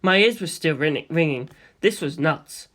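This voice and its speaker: A narrator reading a first-person story in an expressive, theatrical way. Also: mid-range voice, unhurried. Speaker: audiobook narrator.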